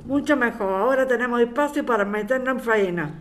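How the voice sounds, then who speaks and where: A woman's voice: on the high side, brisk, close to an everyday voice. A woman with a Spanish accent from Spain playing a determined, forthright neighbour in a scripted audio drama. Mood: brisk. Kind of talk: scripted radio drama dialogue.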